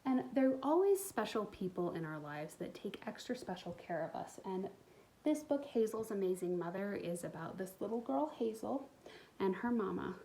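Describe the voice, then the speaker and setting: A woman reading a picture book aloud to young children in an expressive way, pausing to explain things to them. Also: medium pitch, rough recording. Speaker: storytime reader for a school community.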